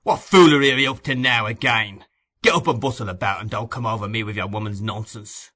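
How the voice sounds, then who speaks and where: A man playing a sickly, bullying brute who growls every line in a savage, curt voice. The tone stays bright and forceful, pushing hard at the listener. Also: medium pitch, lively intonation, normal speed. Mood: gruff, savage. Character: sick, brutish grown man, a bullying thug.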